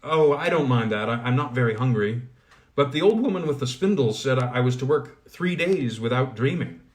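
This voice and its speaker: A man voicing an eager, humble young man who stammers in his excitement. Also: medium pitch, moderate pace, lightly acted.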